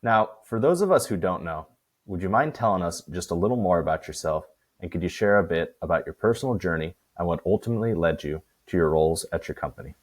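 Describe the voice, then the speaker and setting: A man's voice: low voice, expressive, quick pace. A man hosting a podcast interview, asking friendly questions in an upbeat way. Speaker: podcast host.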